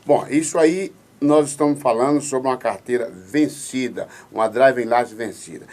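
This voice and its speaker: A man's voice: mid-range voice, normal speed, darkish tone. A man hosting a radio show, reading listener questions and politely laying out hypothetical cases. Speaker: radio host.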